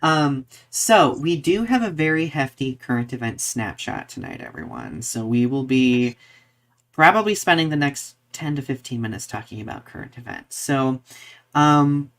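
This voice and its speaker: A man's voice: mid-range voice, big pitch swings, normal speed, vocal fry, fairly bright tone. A man co-hosting a podcast in casual conversation, speaking expressively and emphatically with a filler-laden, searching delivery. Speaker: podcast co-host.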